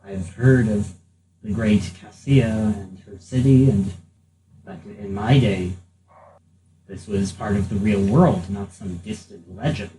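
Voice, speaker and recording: Earnest old-time paladin man doing it, formal, slow, mellow, roomy tabletop game recording. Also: lowish voice. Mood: dignified. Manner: formal, measured. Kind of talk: improvised tabletop roleplay in character. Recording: roomy, faint background noise.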